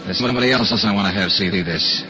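An actor playing a wry, charming amateur detective in an old radio drama. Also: male voice, on the low side, quick pace, lightly acted. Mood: breezy. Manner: breezy, teasing. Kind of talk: 1940s radio acting. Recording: lo-fi old radio broadcast with music.

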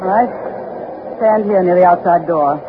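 An actress playing a cool, ruthless spy in an old-time radio drama, speaking in a clipped, commanding, politely menacing way. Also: female voice, lowish voice, moderate pace, close to an everyday voice. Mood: menacing, controlled. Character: cool, ruthless female spy posing as a government agent. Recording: tinny, noisy old radio broadcast.

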